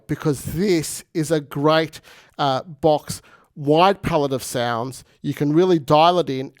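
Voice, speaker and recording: A man presenting a casual, jokey and enthusiastic product review and demo. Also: on the high side. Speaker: gear reviewer.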